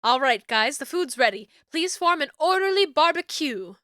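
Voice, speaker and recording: A woman's voice: sits high, expressive, normal speed, bright, forceful tone, no room sound, studio quality. A woman reading the lines of an earnest, friendly suburban dad in a dating-sim game.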